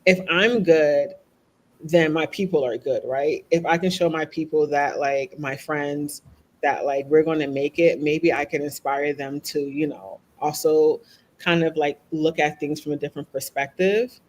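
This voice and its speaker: A woman's voice: low-pitched, steady tone, a little slow, rough recording. A woman speaking as a podcast guest, talking openly and reflectively, often checking in with "right?". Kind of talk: candid conversational interview.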